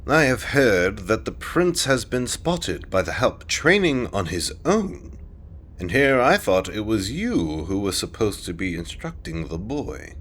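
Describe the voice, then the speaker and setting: A man's voice: lowish voice, sing-song, unhurried, fairly bright tone, husky, heavily acted. A man playing a haughty, disdainful king in a fantasy audio drama, with a pompous, condescending manner. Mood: imperious. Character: haughty, disdainful king, imperious and old-fashioned.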